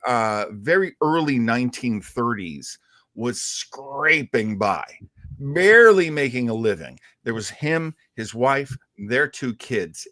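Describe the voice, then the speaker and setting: Animated, unhurried man hosting a show. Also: medium pitch, no echo. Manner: animated, deliberate storyteller with emphatic repetition. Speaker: radio show host. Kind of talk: casual storytelling talk show.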